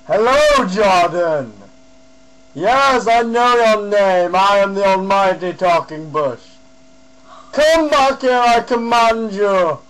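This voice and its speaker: A pompous, magical bush that rules its street , played by a man in a prank. The voice is high and swooping, issuing drawn-out commands in a grand, imperious manner. It is caught on a noisy amateur recording. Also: animated, a bit bright, full character voice. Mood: commanding.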